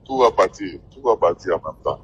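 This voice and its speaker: A man with a West African French accent talking informally on a phone call, halting and often repeating himself. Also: sits low, lively intonation, breathy edge. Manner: halting, repetitive phrasing. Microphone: telephone.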